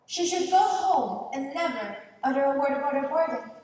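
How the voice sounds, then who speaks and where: A woman playing a mocking, scheming old swamp witch in a stage play, theatrical and deliberate. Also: high voice, animated, darkish tone. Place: large indoor theater stage. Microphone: distant camera. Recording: echoey theater stage from far off.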